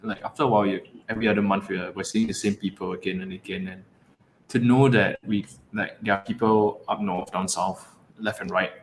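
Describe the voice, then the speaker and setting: A man's voice: lowish voice, fairly even intonation, a little fast, slight background noise, poor audio. A man from Singapore, a poet, chatting casually on an online panel, rambling with many fillers and frequent laughs. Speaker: poet guest.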